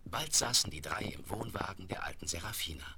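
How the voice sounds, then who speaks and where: An actor playing a calm storytelling narrator in a radio play, reading descriptive scripted prose. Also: male voice, deep voice, fairly quick. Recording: noisy 1970s vinyl radio play.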